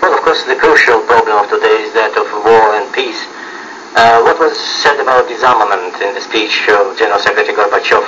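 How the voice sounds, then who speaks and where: Dull, faintly noisy shortwave radio, male interviewer, bright forceful voice, formal questioning. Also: on the low side, fairly quick. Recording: dull, hissy 1980s shortwave radio broadcast.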